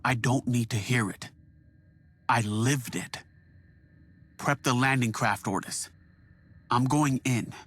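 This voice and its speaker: A man's voice: medium pitch, slow, pauses often, a bit bright. An actor playing a determined young male warrior in a video game, terse and resolute. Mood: grim resolve. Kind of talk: restrained dramatic game dialogue.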